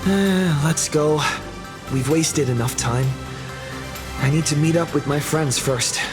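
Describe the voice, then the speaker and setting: A skeptical young male adventurer from a video game. He speaks fairly high for a male and unhurriedly, curt and grudging, over clearly audible music. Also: slow pace. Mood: grudging, impatient.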